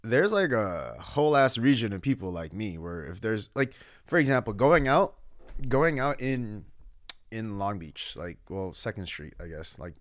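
A man's voice: lowish voice, a little slow, no echo, clean studio sound. A man in his thirties from Guam and California, telling stories in casual, slangy podcast chat as a guest. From Guam and California, born in the Philippines. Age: 30s. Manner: slangy, animated storytelling with quoted asides.

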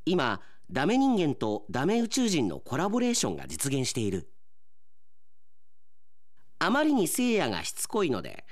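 A man, an announcer, narrating a comic radio drama from a script in a dramatic style. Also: on the high side.

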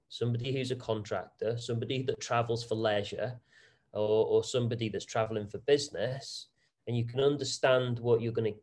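A man talks through his property business as an interview guest. His speech is chatty and relaxed, with frequent fillers and restarts as he explains things, and his voice sits at a middle pitch for a man. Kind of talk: conversational interview answers.

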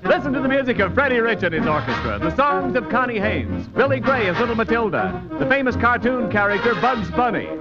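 Animated old-time radio announcer, a man. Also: very high voice, fairly quick, hardly any pauses. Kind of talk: 1940s radio show announcing. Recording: muffled vintage radio broadcast over loud music.

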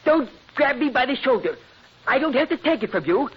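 Very high-pitched, huffy male busybody. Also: sing-song, brisk, breathy edge, exaggerated voice. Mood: indignant. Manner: huffy, indignant. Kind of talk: old-time radio comedy acting. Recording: dull old radio recording.

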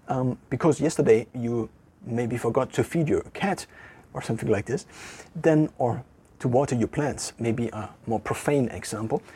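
A man speaking English with a German accent, thinking aloud to a camera in an empty room, halting and correcting himself as he goes. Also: mid-range voice, mellow, slightly rough. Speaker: vlogger. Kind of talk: casual reflective monologue to camera.

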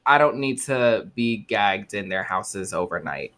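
A man co-hosting a casual podcast, talking in an animated way and joking crudely. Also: fairly low pitch, measured pace. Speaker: podcast co-host.

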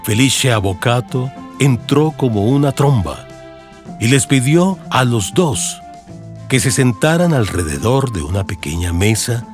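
A man's voice: mid-range voice, animated, a little slow. A man with a Colombian accent narrating a dramatized audiobook in the style of a radio serial. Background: music under the voice.

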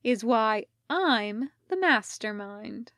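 A woman's voice: fairly high pitch, expressive, slow, fairly bright tone, no echo, studio quality, exaggerated voice. A woman voicing a breezy, mischievous woman with a chirpy, dismissive manner in a story reading. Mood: smug. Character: bright, breezy, mischievous woman, pleased schemer.

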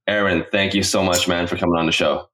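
A man's voice: low voice, little pitch movement, fast talker, a bit bright. A man hosting a podcast in an informal, enthusiastic way, talking straight to his listeners. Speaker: podcast host. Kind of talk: upbeat podcast intro and casual interview.

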